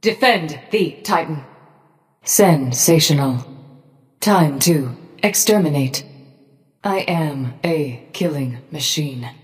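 A woman voicing a cold, menacing robotic gorgon in a video game, giving short commands in a measured, detached, machine-like way. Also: deep voice, animated, darkish tone, big-room sound. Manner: slow, measured, emotionally detached machine-like delivery. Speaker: game character voice pack.

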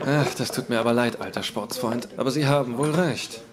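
A man's voice: medium pitch, brisk, a crowd in the background, close to an everyday voice. An actor playing an overeager, stammering mayor who gushes at his guest. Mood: gushing. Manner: gushing, stammering, jovial. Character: fawning mayor Ramsey, man, overeager.

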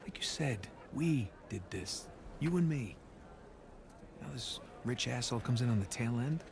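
A man playing a scrappy young treasure hunter in a video game, American, casual and wry, with a halting, natural delivery. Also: mid-range voice, expressive, gravelly, a little mellow, a little hiss or hum. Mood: wry, insistent.

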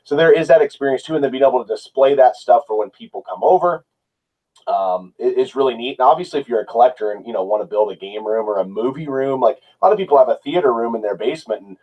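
A man's voice: mid-range voice, fast, lo-fi sound. A man in his thirties talking casually as a guest, somewhat hesitant and rambling. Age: 30s. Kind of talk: casual discussion.

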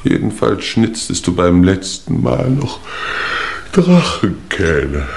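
Old aristocratic uncle, deep and creaky, tipsy, melancholy, ponderous, vintage radio crime play. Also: male voice, very animated, takes it slowly, a little mellow, full character voice. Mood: wry, weary. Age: older. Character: tipsy, weary old English lord, dazed uncle. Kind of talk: 1950s German radio play acting.

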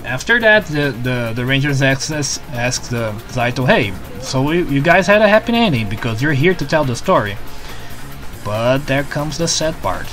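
Excitable man with a Brazilian accent. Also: mid-range voice, normal speed, faint music behind. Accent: Brazilian Portuguese. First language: Portuguese. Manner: excitable, repeats words, adds "né?" tags. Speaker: show reviewer. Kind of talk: casual review commentary.

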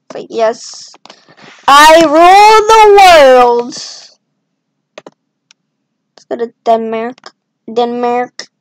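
Woman, slow-paced casual self-talk, rambling asides, voice on the higher side. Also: no echo, low-quality recording. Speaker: map-making video creator. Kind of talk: casual commentary while drawing.

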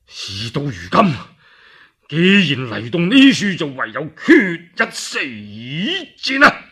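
A man performs a cornered warlord chancellor from a radio storybook tale, with a Cantonese accent. The voice is high and husky, swooping widely as he boasts or wheedles in long theatrical phrases. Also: huge pitch swings, pushed and bright, full character voice. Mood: desperate resolve. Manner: theatrical, drawn-out pleading and boasting.

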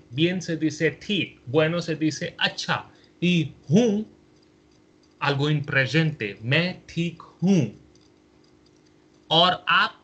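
A young man from India with an Indian accent, a native Hindi speaker, teaching a slow language lesson and patiently repeating each phrase. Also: fairly high pitch, fairly bright tone, breathy edge. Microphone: voice-over over slides.

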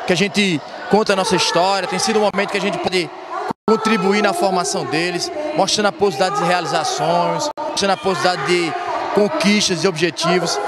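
Man, 30s, Northeastern Brazilian accent, motivational speaker, emphatic, higher pitch, loud crowd noise. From Rio Grande do Norte, Brazil. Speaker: motivational speaker, former athlete. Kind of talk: interview answers. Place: large indoor school gym with students. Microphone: handheld reporter mic.

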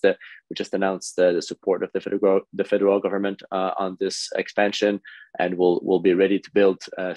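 A man's voice: deep voice, dry recording. A man, a port executive answering on a video call panel, hesitant with many fillers and restarts.

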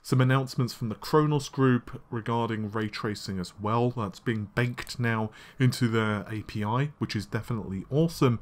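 A man hosting a casual tech news show, his voice slightly scratchy and worn, talking in a chatty way with frequent fillers and quick asides. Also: medium pitch. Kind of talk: casual solo tech commentary. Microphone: laptop recording setup.

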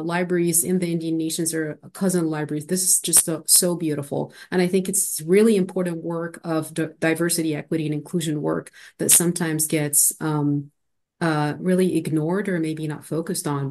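Woman, from Egypt, podcast co-host, lowish voice, halting, many fillers, webcam. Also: steady tone, a little mellow. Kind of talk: interview questions over video call. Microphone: video call webcam.

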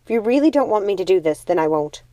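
Earnest young witch female audiobook reader, pleading, dutiful, soft mellow tone, dry recording. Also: mid-range voice, lively intonation, fast, no room sound, close to an everyday voice. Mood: resigned, pleading. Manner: pleading, insistent. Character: earnest, devoted young witch torn by duty.

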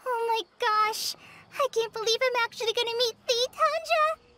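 An actress playing a shy, stammering young trainee forest ranger in a fantasy video game. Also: female voice, very high-pitched, moderate pace. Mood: thrilled. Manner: timid, stammering, gushing fan. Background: game background music.

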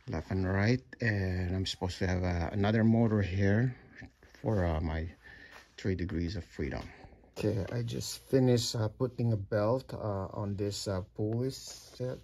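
A middle-aged man giving a casual walkthrough of his home-built project, halting with many fillers and restarts. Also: fairly low pitch, darkish tone. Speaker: DIY builder. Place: home room with sim cockpit, indoors.

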